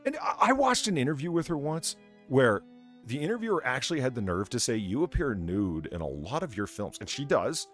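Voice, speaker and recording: A man hosting a livestream, chatting casually and profanely, with a rambling, halting way of telling things. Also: mid-range voice, very animated, fairly bright tone, soft background music. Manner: rambling, halting, stop-and-start storytelling. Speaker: show host reading out donations.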